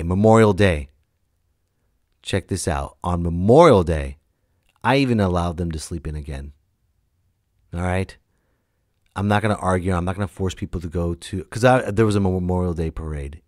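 Man, late thirties, low-pitched, blunt, emphatic, casual podcast monologue, close dry sound. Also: no echo. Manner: emphatic, blunt, swearing, acts out quoted lines. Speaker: podcast host. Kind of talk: casual solo podcast monologue, ranting and storytelling.

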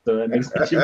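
A young American man chatting and joking casually as a podcast co-host, over a dull online call. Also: medium pitch. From United States. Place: small game room at home, indoors.

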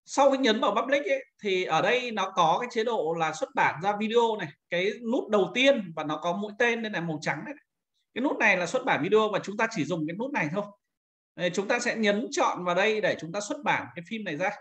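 A man instructing in a screen-recorded software tutorial, talking informally and pointing things out step by step as he demonstrates. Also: high voice, animated, quick pace. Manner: informal, repeats pointing words while demonstrating.

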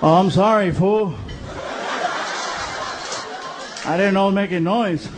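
A man voicing a hot-tempered young guy with a gruff, tough-guy delivery who keeps calling people fool. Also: sits high, normal speed, crowd noise, voice pushed down, lightly acted. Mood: sheepish. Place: comedy stage before a live crowd. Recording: live show with audience, noisy.

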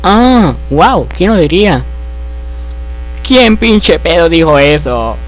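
A man's voice: on the high side, big pitch swings, slow, low-quality recording. A man voicing a goofy, clueless, foul-mouthed young hero in a melodramatic cartoon parody fandub. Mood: baffled. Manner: melodramatic, singing nonsense syllables to himself.

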